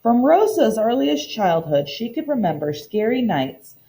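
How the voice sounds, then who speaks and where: Mellow, expressive woman teacher reading aloud. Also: a little high, a little slow. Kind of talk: expressive storybook read-aloud lesson.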